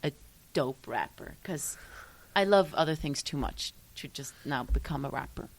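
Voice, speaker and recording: A young woman from Switzerland talking as a musician guest in a casual interview, animated, often trailing off and restarting her sentences. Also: low-pitched, moderate pace, rough recording. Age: young adult.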